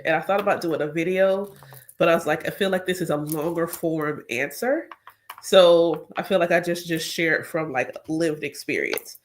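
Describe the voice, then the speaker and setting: A woman's voice: on the low side, a little hiss or hum. Chatty congested woman talking to viewers. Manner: chatty, talks straight to her viewers. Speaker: spiritual coach. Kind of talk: casual chat.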